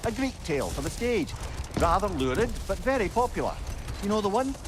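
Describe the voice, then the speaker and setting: An actor playing a witty, talkative older sage with a wry storyteller's manner in a video game. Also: male voice, high voice, sing-song, fairly quick, background noise. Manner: chatty, wry, lilting storyteller. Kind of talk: dramatic video game acting.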